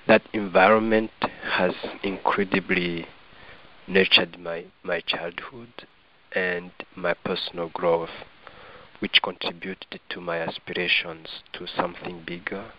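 A middle-aged man with a Rwandan accent giving reflective personal testimony as an interview guest, earnest and measured, in formal phrasing, on slightly dull audio. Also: deep voice, level tone.

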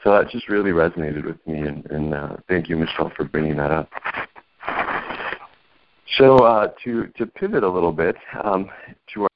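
Deep-voiced male host, measured. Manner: measured, reflective, with restarts. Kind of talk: podcast interview hosting. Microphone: video call. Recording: dull lo-fi call audio.

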